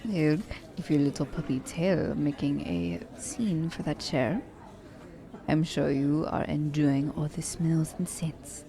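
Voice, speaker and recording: Low, mellow teasing assassin played by a woman. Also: animated, slow, heavily acted. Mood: teasing, welcoming. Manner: formal, slightly stilted wording, teasing. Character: poised, teasing, condescending female assassin doting on captive werewolf. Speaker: voice actor. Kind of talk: ASMR romance roleplay.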